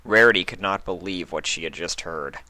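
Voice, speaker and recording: A man's voice: low voice, fairly quick, sharp tone, dry recording. A man narrating a scripted story as a reader.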